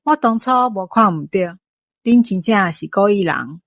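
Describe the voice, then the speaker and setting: A woman's voice: mid-range voice, lively intonation, moderate pace, no room sound, clean studio sound. A woman playing a shrewd, fair-minded restaurant boss in a Taiwanese-accented radio drama, businesslike and authoritative. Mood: approving. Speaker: voice actor in an audio drama.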